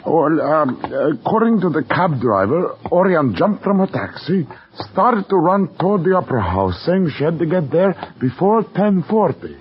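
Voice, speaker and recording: A man's voice: a little high, sing-song, moderate pace, hardly any pauses. An actor playing an anxious, solicitous man in a 1940s radio drama, hesitant and pleading in his delivery. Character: anxious, solicitous man comforting a younger woman. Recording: muffled vintage radio.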